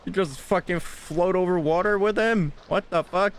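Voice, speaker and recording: A man's voice: sits high, moderate pace, clear, resonant tone. A man giving casual gameplay commentary, blunt and sweary, often talking straight at the game. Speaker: gaming video creator.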